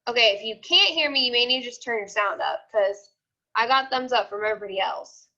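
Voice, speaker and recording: A woman's voice: on the high side, a little fast, sharp tone. A woman teaching children in an informal online class, explaining things step by step and often checking they follow. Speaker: elementary school teacher. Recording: dull, lo-fi video call audio.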